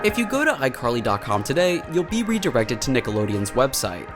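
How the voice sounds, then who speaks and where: Lively male narrator over background music. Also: medium pitch, fairly quick. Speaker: video narrator. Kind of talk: scripted explainer narration. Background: prominent background music.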